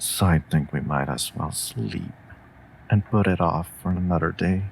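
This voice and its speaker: A man's voice: deep voice, vocal fry, a little hiss or hum, rough recording. A man playing a stoic, protective wolf familiar in an intimate comfort audio roleplay, teasing drily and tenderly. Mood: sleepy, wry. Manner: slow, dry, teasing.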